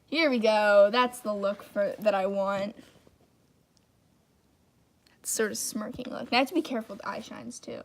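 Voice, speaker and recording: A woman's voice: mid-range voice, fairly even intonation, moderate pace, pauses often, a little hiss or hum. A young woman chatting casually on a live stream as she draws at a table, rambling with lots of "like" fillers. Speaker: live stream host drawing on camera. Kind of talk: casual chat while drawing. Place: indoors at a table.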